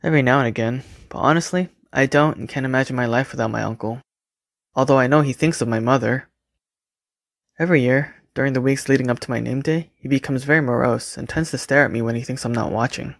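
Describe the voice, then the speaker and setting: A man's voice: medium pitch, fast talker, gravelly, dry recording, studio quality, close to an everyday voice. A man playing a courteous, reserved, caring young mortician in an audio drama. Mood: reflective. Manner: courteous, understated.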